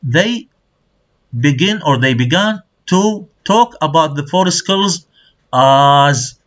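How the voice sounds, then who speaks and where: Guy, lecturing in a college class, lots of pitch movement, muffled lo-fi sound. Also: lively intonation, takes it slowly, a bit bright. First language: Arabic. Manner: explains terms and checks with students. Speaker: university lecturer. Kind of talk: lecture, switching between English and Arabic. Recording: dull lo-fi recording.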